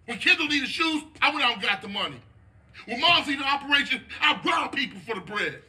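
A man's voice: extremely high pitch, sharp tone, full character voice. An actor playing a stern, hot-tempered street gangster in a video game, preachy and scolding in slangy speech, fiercely loyal to his neighborhood. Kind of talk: dramatic game cutscene dialogue with street slang.

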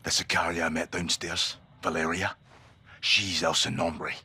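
A man's voice: low-pitched, flat delivery, unhurried, sharp tone, husky, heavily acted. A man playing a tough, controlled special forces soldier in a video game, speaking tersely. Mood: tense, low-key. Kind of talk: cinematic game acting. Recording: dramatic score over the dialogue.

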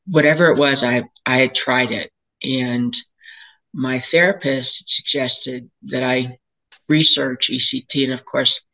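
An older woman speaks with a raspy, hoarse voice from a lingering cold, yet her tone stays bright and forceful. She tells her own story as a patient, earnest and candid, with pauses and restarts. Heard through a home webcam on a video call. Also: extremely low pitch, low-quality recording. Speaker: patient sharing her experience. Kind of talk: personal testimony at a panel. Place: home room, indoors, seated in a chair.

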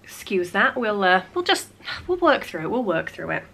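Late-20s woman, bunged up with a cold, gravelly and bright, chatty vlogger at home. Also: mid-range voice, big pitch swings, moderate pace, slight background noise. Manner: chatty, frequent "like" fillers, playful asides. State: congested with a cold. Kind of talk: casual chat. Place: small cluttered home room.

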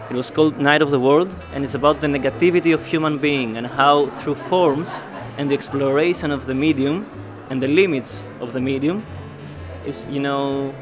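A young man from Greece with a Greek accent, speaking in hesitant phrases as an artist being interviewed. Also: medium pitch. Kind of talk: on-camera interview. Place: indoor art fair booth. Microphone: handheld interview mic held out by interviewer.

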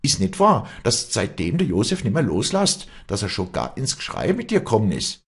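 A man's voice: sits low, expressive, brisk, husky, voice pushed up, full character voice. A man voicing a proud, hot-tempered, jealous young peasant woman in Alpine Bavarian dialect, scornful and hectoring, in a storybook reading. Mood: furious accusing.

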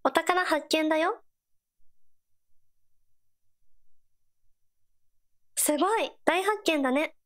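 An earnest teenage girl companion from a mobile game. The voice sits high and stays gentle. Short scripted lines come out supportive and encouraging. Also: normal speed. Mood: curious, earnest. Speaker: game character voice actor.

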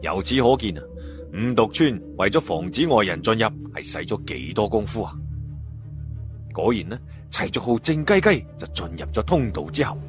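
A man's voice: on the low side, big pitch swings, normal speed. A man narrating a story dramatically, with colloquial playful asides and exclamations, as an audiobook storyteller. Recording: background music behind narration.

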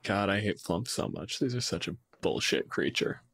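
A man's voice: lowish voice, moderate pace, a bit bright, breathy edge, no room sound. Blunt, sweary man chatting, close and dry. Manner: blunt, profane. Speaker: podcast co-host and game master. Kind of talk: casual opinionated debate chat.

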